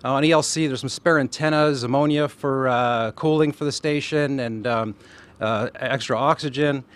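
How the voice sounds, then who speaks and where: An astronaut talks about the mission to the press with enthusiasm. He speaks loosely, with many fillers between his points, in a plain mid-pitched voice. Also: moderate pace, clean tone. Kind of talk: press statement, loosely spoken.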